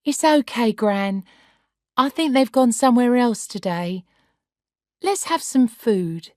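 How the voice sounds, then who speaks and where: A patient young woman, voiced by a woman in a storybook reading. She coaxes her difficult grandmother gently, pitched a bit higher, in a lively voice. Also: takes it slowly, dry recording, studio quality. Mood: gentle. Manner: gentle, coaxing.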